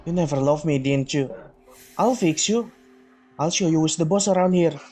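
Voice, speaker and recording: An unstable, resentful father played by a man in a horror stage reading. His voice is dark and soft-edged, pitched a little above average. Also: moderate pace, close to an everyday voice. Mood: bitter threatening. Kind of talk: readers theater horror drama.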